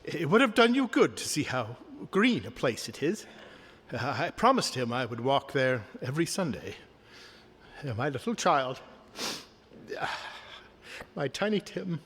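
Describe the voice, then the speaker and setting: Casting: loving, grieving Victorian father, radio drama; hesitant, tremulous, very expressive. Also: on the high side, a little slow, long pauses, husky, full character voice. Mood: grieving, fond. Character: meek, loving, bereaved Victorian father holding back tears. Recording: reverberant hall sound.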